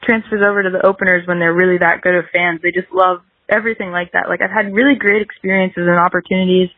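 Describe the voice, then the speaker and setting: A woman's voice: fairly low pitch, flat delivery, fast talker. Woman musician just chatting, says like a lot, rambly, kinda dull radio-ish audio, no room echo. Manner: rambling, peppered with "like". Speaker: guest musician, singer-songwriter. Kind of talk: casual interview chat.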